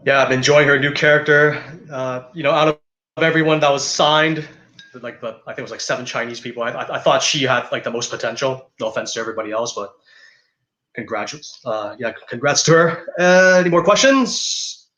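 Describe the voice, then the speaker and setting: Male Canadian livestream host, bright voice, chatty interviewing, roomy low-fidelity audio. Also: brisk, muffled recording. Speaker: interview host, wrestling announcer. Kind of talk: casual livestream interview hosting.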